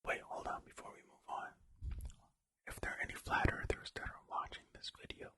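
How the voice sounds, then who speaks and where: A host whispering an ASMR reading of facts, with rambling, jokey, self-deprecating asides in a casual way. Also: fairly quick. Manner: whispering throughout. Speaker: host of a video channel.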